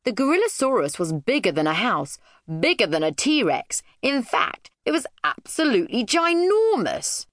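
A woman voicing a gentle professor father in a children's story, earnest and explanatory. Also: on the high side, wildly swooping pitch, normal speed, dry recording, studio quality, voice pushed up. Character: gentle, scholarly professor father lecturing his daughter.

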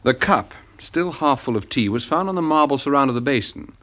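An actor playing a shrewd English private detective in a British radio drama, measured, authoritative and analytical. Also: male voice, mid-range voice, animated, a bit bright, natural voice. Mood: calm, reasoning. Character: shrewd, composed male private detective, the boss.

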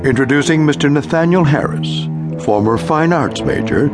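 An actor playing a solemn, knowing narrator of eerie tales, with measured, portentous dramatic delivery. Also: male voice, medium pitch, big pitch swings, lightly acted. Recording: loud music behind narration.

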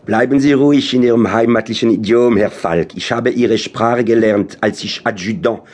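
An actor playing a composed French general in a radio play, speaking measured, cultivated German with a slight French accent. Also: male voice, medium pitch, darkish tone, close to an everyday voice. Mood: suave, probing. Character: courtly, composed short stout French general.

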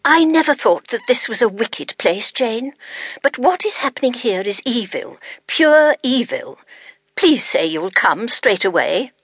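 An eccentric English vicar's wife in a radio drama. She is forthright and brisk, with a raised, highly animated pitch and a breathy huskiness. Her delivery is emphatic, blunt and unflappable. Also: full character voice. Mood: urgent, insistent. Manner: emphatic, blunt, matter-of-fact. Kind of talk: dramatised audiobook acting.

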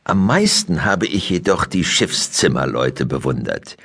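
Elderly painter, very deep, husky, lively melody, fond reminiscing, children's audiobook. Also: male voice, unhurried, heavily acted. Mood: fond, admiring. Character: elderly Dutch painter fondly recalling his youth.

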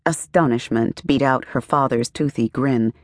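A woman narrating a literary novel as an audiobook, with measured, expressive storytelling and careful phrasing. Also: extremely low pitch, dry recording, studio quality. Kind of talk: scripted literary fiction narration.

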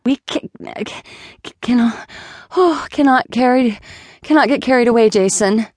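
A woman reads the part of a fiery young heroine whose voice jumps up high and races when she is annoyed. Her indignation tumbles out quickly and sharply. She sounds easily flustered, a storybook character in full protest. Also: sing-song, warm tone, no room sound, studio quality. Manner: high-pitched, quick and exasperated.

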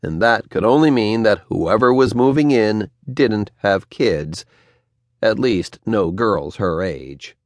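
Low-voiced, animated male children's storyteller. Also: no echo. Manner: expressive, deliberate storyteller phrasing with emphasis. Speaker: audiobook narrator. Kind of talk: scripted children's story narration.

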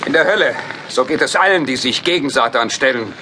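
A man's voice: medium pitch, animated, a little fast, noisy background, lightly acted. A man playing a fanatical, menacing leader of a Satanist biker gang in a horror audio drama, solemn and commanding. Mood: threatening.

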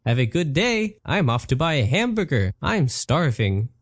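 A man voicing a smug, matter-of-fact male genie who talks slowly and calmly. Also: a little high, no room sound, studio quality.

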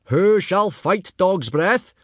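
A man putting on a booming Viking trainer. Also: very animated, unhurried, no room sound, clean studio sound. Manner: booming, yelling. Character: loud gruff male Viking teacher.

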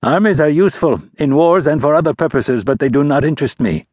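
A man's voice: on the high side, lively intonation, fast, a little mellow, no room sound, studio quality, pitched above the performer's own voice. A man voicing a scheming male ambassador prince of about forty, with a mocking, careless, lofty manner.

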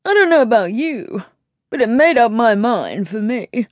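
A woman narrator plays a storybook lord in his thirties, a languid military gentleman. He drawls lazily and slowly in a dry, close recording. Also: fairly high pitch, big pitch swings, no room sound, muffled recording, voice pushed up. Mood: lazy. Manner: lazy upper-class drawl. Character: languid thirty-five-year-old male aristocratic army officer.

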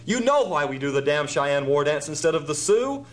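A man's voice: on the high side, very animated, normal speed. A man playing a harried, sarcastic theme park manager in an audio drama, talking with exasperated emphasis. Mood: pleading frustration.

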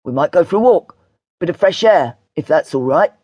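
Casting: female reader as a sarcastic British lad in his teens, indignant and slangy, slightly rough-voiced and close, dry sound, audiobook. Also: sits low, lively intonation, fairly quick, warm tone, no room sound. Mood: casual. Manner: offhand, sardonic, slangy.

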